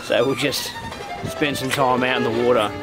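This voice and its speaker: A man's voice: mid-range voice, fairly even intonation, normal speed. Male, 30s, Australian accent, easygoing sailing vlogger, casual rambling explainer, music underneath. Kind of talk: casual explanatory vlog talk. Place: aboard a small sailboat, in the cabin and on deck.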